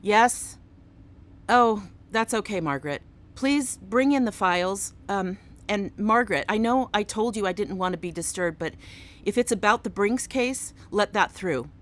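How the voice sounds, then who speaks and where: A woman's voice: medium pitch, a little hiss or hum, close to an everyday voice. A woman playing a businesslike, authoritative police chief in a radio drama. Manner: brisk, authoritative. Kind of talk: contemporary radio drama acting.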